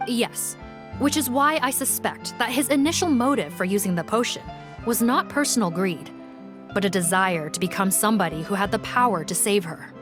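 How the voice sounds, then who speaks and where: A woman's voice: mid-range voice, animated, moderate pace, natural voice. Game role: woman as a composed female officer giving a formal case report, businesslike, music underneath. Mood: measured, firm. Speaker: police officer character.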